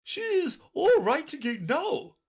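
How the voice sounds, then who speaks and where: A reader voicing a bossy, imperious queen in a storybook audiobook, with a shrill, commanding manner and drawn-out emphasis. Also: big pitch swings, exaggerated voice. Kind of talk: audiobook character voice.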